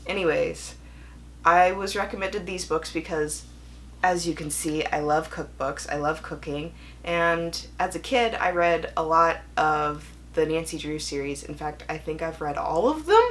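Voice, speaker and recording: A young woman with a slightly low voice chats casually to her audience as a book vlogger. She leans into key words with animated emphasis and drops in wry, self-deprecating asides. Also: measured pace, a little hiss or hum. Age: young adult. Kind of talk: casual chatty video to viewers.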